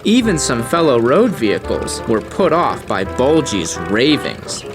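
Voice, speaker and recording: A man reading scripted storybook narration in a measured, dramatic way. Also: mid-range voice, very animated. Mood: storytelling. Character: storybook narrator of talking engine tales. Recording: music playing behind.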